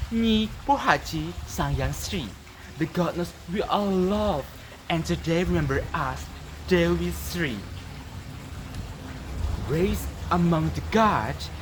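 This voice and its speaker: A teenage student from Indonesia with an Indonesian accent tells a folktale dramatically, with deliberate, emphatic pauses. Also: medium pitch, background noise. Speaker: student storyteller. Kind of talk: dramatic oral storytelling for a competition.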